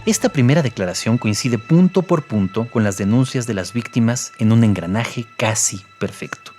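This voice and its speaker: A man with a mid-pitched voice gives scripted analytical commentary in an audio documentary, acting as co-narrator and analyst. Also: expressive, moderate pace, darkish tone, faint music behind.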